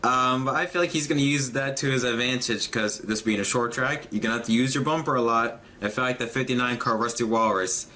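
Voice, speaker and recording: Congested guy hosting a racing stream, bright tense voice, little faint hum behind. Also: medium pitch, steady tone, brisk, few pauses. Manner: upbeat announcer, lifts his voice for intros. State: congested from allergies. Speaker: sim racing league host. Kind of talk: casual race pre-show and livestream talk.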